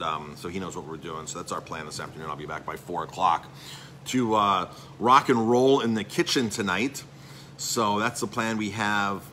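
A middle-aged man runs through his restaurant's news in a chatty, salesy way, with plenty of ums and restarts. His voice sits a little low but comes across bright and forceful. A faint hum of noise stays in the background. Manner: chatty, salesy, filler-heavy rambling. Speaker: chef and restaurant owner. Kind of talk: casual live-stream update.

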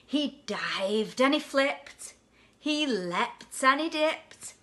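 Slow, bright-voiced woman reading a storybook. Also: high voice, slight background noise. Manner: animated, deliberate, emphasising rhyming words. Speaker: deputy headteacher reading a children's story. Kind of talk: expressive picture-book storytelling for children. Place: indoors at home on a sofa.

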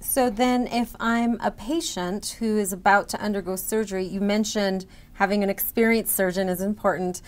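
A woman's voice: mid-range voice, fairly even intonation, normal speed, hardly any pauses. A middle-aged woman interviewing a guest with semi-scripted questions. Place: indoor event room with banner backdrop.

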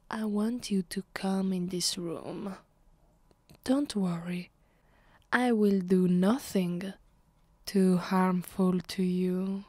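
Woman acting a sadistic female vampire, Italian accent, ASMR roleplay, unhurried, commanding, breathy half-whisper, close and dry. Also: mid-range voice, no echo. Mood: teasing, commanding. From Italy. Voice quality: soft, breathy, often dropping to a whisper. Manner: slow, deliberate, commanding. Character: haughty, sadistic female vampire mistress savoring her power. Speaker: voice actress. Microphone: close microphone.

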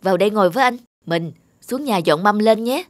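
Stern elder brother acted by a woman, lively pitch. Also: brisk, background noise, natural voice. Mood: advising. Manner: admonishing, firm. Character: stern, responsible married elder brother, a village man. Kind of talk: animated folk tale acting. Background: background music.

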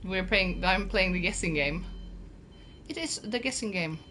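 A woman's voice: fairly low pitch, animated, measured pace, sharp tone, slight background noise. Chatty Norwegian woman streaming a game. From Norway. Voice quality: chatty, swears freely, talks to chat. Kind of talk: casual gaming commentary.

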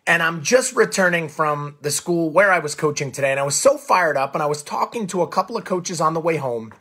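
A hoarse, raspy man in his forties hosting a coaching show as a live monologue, fired up and animated, recorded on a phone close to the face in a small room. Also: fairly high pitch, a little fast. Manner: fired-up, animated, gestures a lot. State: hoarse from coaching all day. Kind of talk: energetic Facebook Live monologue.

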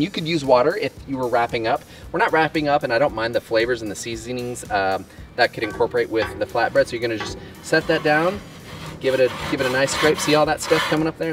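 A man in his thirties walks through a recipe at an outdoor griddle, chatting easily and poking fun at himself. He talks at an everyday pitch, and light music plays underneath. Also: far from the mic. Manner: jokey, self-deprecating banter. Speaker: cooking show host. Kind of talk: casual cooking demonstration.

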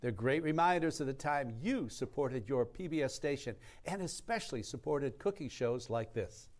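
A man's voice: mid-range voice, animated, normal speed. A man in his sixties co-hosting a live TV pledge show, presenting upbeat and emphatic like an announcer. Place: TV studio kitchen.

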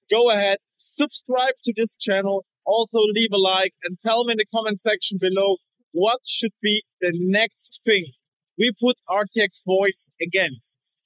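A man's voice: high voice, steady tone, a little slow, fairly bright tone, no echo, poor audio. A man in his twenties hosting a casual vlog demo, talking to a camera held at arm's length outdoors. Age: 20s. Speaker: video host. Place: outdoors beside a rushing river weir.

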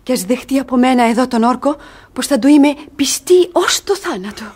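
A woman's voice: high voice, lively intonation, a little mellow, husky. An actress playing a cunning, passionate young woman in a radio theatre comedy, dramatic and emphatic. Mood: ardent, scornful. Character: young female ward, cunning, passionate, desperate lover.

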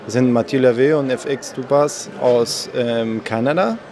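A man in his forties, originally from Argentina, a conductor answering interview questions in a calm, measured way. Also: medium pitch, crowd noise. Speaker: conductor, festival guest. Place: indoor room at a festival. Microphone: handheld mic held by interviewer.

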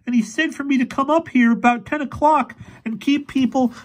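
A belligerent teenage boy in a storybook, pitched way up and loud. He snaps out threats with a mean, bullying edge. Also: fairly even intonation, brisk. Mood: menacing. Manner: belligerent, snapping and yelling. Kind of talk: audiobook character voice.

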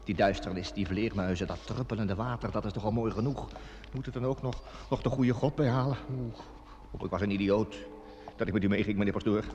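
In long reverb with faint noise, a frightened schoolmaster acted by a man frets in a radio play. His voice is lowish and full of nervous complaint. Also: a little fast, a little mellow, lo-fi sound, close to an everyday voice. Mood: fearful, complaining. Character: fretful, frightened village schoolmaster lost in tunnels. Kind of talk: Dutch radio play acting.

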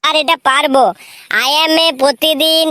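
A woman's voice: sits high, little pitch movement, measured pace, bright, forceful tone. A woman voicing a boastful, eager schoolboy in a comedy cartoon, with a rural West Bengal accent and a cocky, rambling way of talking. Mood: confident. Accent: rural West Bengal (Bankura). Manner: cocky, rambling, garbled pidgin English. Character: boastful, eager schoolboy mangling English answers. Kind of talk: comic cartoon voice acting.

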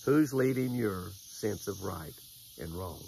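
A man's voice: deep voice, expressive, slow, gravelly, warm tone, slight background noise, rough recording. An older American man outdoors by a lake, giving a folksy devotional and fishing tip, repeating phrases for emphasis. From United States. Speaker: devotional host and fishing angler. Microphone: camera at close range.

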